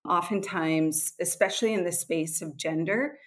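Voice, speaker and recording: A woman's voice: lowish voice, moderate pace. A middle-aged woman with an American accent, answering interview questions in an earnest, explanatory way. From Switzerland and USA. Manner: earnest, explanatory, occasional laughs. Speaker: guest, nonprofit executive director.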